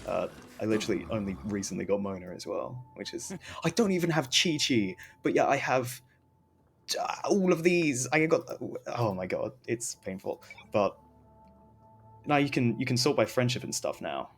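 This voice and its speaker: A man's voice: medium pitch, lively intonation, moderate pace, gravelly. A man chatting casually as he streams a game, rambling and often interrupting himself, with some noise on the recording. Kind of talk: casual gaming stream chat.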